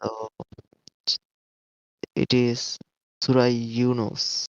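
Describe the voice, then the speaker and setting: Flat-voiced man from Bangladesh on a muffled call. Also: mid-range voice, extremely slow, dry recording. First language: Bengali. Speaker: caller. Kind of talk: call-in debate. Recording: muffled dry call line.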